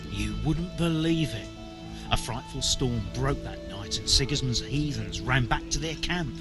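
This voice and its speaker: A man playing a gleeful medieval villager in a game. He has a mid-pitched voice and an eager storyteller's manner, with clear music underneath. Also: expressive, unhurried, close to an everyday voice. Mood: amused, proud. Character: gleeful villager telling tales of escape. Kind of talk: medieval video game cutscene acting.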